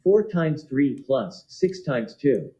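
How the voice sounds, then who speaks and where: A synthetic computer voice reading test questions aloud in a flat, literal way, with a muffled playback sound. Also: medium pitch, slow.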